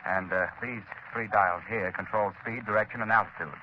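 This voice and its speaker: An actor playing a polite, matter-of-fact army lieutenant pilot in 1930s radio drama style. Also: male voice, fairly low pitch, fairly even intonation, normal speed, natural voice. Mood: explaining. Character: brisk, helpful male army lieutenant test pilot. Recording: muffled, noisy 1939 radio broadcast.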